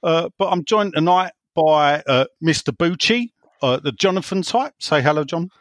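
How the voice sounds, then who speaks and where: A man's voice: a little high, lively intonation, normal speed, a bit bright, no room sound. A man hosting a casual podcast over a call, teasing his co-hosts and talking in stop-start bursts with lots of fillers. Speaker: podcast host standing in. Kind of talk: casual chat, jokey.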